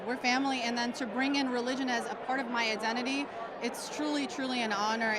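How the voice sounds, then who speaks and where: Earnest woman officer amid loud crowd. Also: a little high. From United States, Chicago. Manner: earnest, emphatic. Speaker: police officer, interview guest. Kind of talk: red carpet interview. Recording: loud crowd and street noise.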